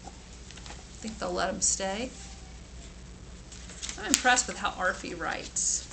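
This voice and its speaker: A woman reading a picture book aloud to children in an expressive, sympathetic way, with informal asides. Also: medium pitch, slow, creaky, background noise. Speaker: storybook reader.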